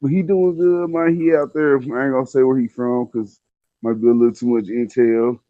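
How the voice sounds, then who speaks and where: A man's voice: medium pitch, steady tone, normal speed, no room sound, phone-quality sound. A man in his twenties chatting casually as a guest, candid and rambling, with a lot of slang. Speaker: guest telling personal stories. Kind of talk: casual chat.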